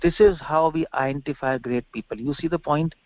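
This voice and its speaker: A man's voice: on the high side, fairly quick, no room sound. A middle-aged man lecturing on scripture off the cuff as a religious teacher, emphatic, repeating phrases and posing rhetorical questions. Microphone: conference call. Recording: dull lo-fi conference-call audio.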